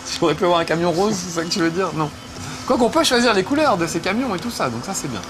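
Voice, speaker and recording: A man's voice: sits high, big pitch swings, fast, music in the background. A man hosting a video game show, chatting playfully and mocking himself as he plays. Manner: jokey, self-mocking, chatty with many fillers. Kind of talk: playful gaming chat while playing.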